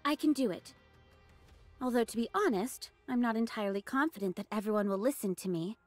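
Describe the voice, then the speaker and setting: A woman's voice: fairly high pitch, brisk, no echo, soft background music, natural voice. An actress playing a poised, spirited young woman soldier in an anime game. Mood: poised, doubtful.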